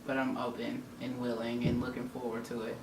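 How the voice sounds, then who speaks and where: A woman's voice: a bass voice, little pitch movement, brisk. A woman from the US Midwest with a Southern-tinged accent, chatting candidly to camera with her wife and often trailing off with "I don't know.". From Indianapolis, Indiana, US Midwest. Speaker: vlogger. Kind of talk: casual couple's chat to camera. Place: home room. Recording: roomy, distant mic with faint noise.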